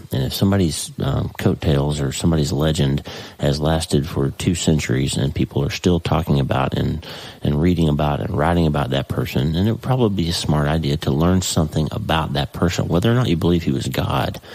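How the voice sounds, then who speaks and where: A man's voice: rumbling low, creaky, darkish tone, no echo, rough recording. A middle-aged man with a stuffy, congested voice hosting an earnest, folksy faith podcast from his home office desk. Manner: earnest and folksy, with many restarts. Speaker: podcast host. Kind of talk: casual faith-based podcast monologue.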